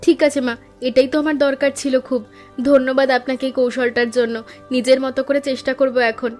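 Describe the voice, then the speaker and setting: Woman doing a high-voiced, polite cartoon daughter-in-law, eager and respectful, soft music under. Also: level tone, fairly quick, close to an everyday voice. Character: elder daughter-in-law, sensible and traditional. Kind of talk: cartoon voice acting.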